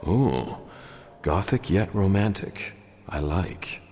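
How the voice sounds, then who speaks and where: An actor playing a courtly, brooding vampire lord in a video game, speaking in a formal, wry, deadpan way. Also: male voice, sits low, slow pace, a little hiss or hum. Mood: polite, dry. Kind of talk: video game character acting. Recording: long reverb, music underneath.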